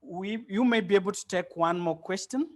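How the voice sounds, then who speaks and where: Male event moderator, courteous and formal, a touch high-pitched. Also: normal speed. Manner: formal, polite questioning.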